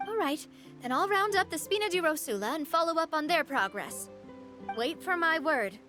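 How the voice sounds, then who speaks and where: Brief: a self-assured young game heroine, high lively voice, breezy. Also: female voice, moderate pace, soft background music, heavily acted. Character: confident young woman gang leader, breezy and sharp. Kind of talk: video game voice acting.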